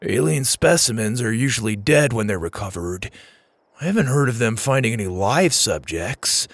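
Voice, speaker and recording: A man voicing a skinny, geeky adult sci-fi fan, casual, chummy and easily excited. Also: medium pitch, moderate pace, dry recording. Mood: quiet, serious.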